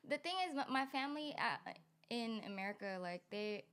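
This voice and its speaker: A woman's voice: on the high side, animated, a little fast, a bit bright. Slangy Bay young woman. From Vallejo, California. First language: Tagalog. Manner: loose slangy talk full of "like" and restarts. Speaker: guest singer-songwriter. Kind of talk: casual chat.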